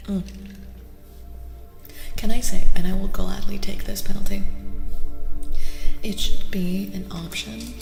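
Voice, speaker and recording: A young adult woman speaking softly as an ASMR livestreamer and tarot reader, often dropping toward a whisper. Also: lowish voice, vocal fry. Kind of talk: soft-spoken ASMR livestream chat. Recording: reverberant echo with music behind. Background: music.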